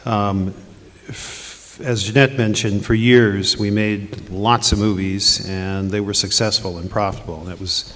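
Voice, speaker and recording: Low-voiced male executive, echoey hall. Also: fairly even intonation, a little slow, fairly bright tone. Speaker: company chairman on panel. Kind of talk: conference panel talk. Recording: reverberant conference hall.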